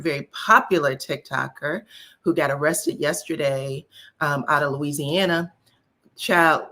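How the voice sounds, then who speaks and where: A woman's voice: sits low, fairly bright tone. Woman, scratchy voice, live-stream host, casual gossip chat, folksy, talks to viewers. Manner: chatty, folksy, addresses viewers directly. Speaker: celebrity gossip YouTuber.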